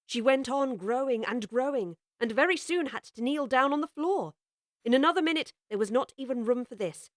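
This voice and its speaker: A woman's voice: on the high side, a bit bright, no echo, clean studio sound. Woman narrating a storybook.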